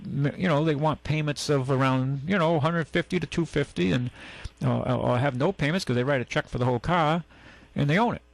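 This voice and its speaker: A man's voice: a little high, brisk, vocal fry, hardly any pauses, no echo. A man hosting a talk radio show, talking in a folksy, rambling, opinionated way. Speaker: radio show host and used car dealer. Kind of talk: casual radio chat. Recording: AM radio broadcast.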